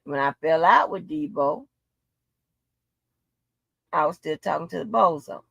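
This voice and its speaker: A woman's voice: deep voice, a little slow, creaky, fairly bright tone, breathy edge, dry recording. A woman in an unscripted livestream rant, blunt, profane and confrontational, repeating phrases for emphasis. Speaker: livestream host.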